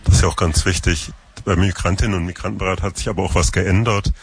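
A man's voice: low-pitched, steady tone, fast. A man hosting an interview, asking fluent, structured questions. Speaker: interviewer, radio host. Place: summer party at an art gallery.

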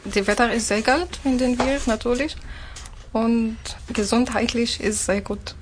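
A woman's voice: fairly high pitch, steady tone, measured pace, slight background noise. A woman from Syria with an Arabic accent, answering as an interview guest in non-native phrasing. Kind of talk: personal talk in a radio interview.

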